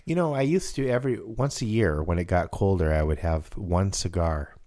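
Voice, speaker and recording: A man with a raspy voice in casual conversation, reminiscing in a halting way with frequent restarts. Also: deep voice, animated, warm tone, no room sound, clean studio sound. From South Dakota, United States. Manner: halting, many restarts and fillers. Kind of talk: casual chat.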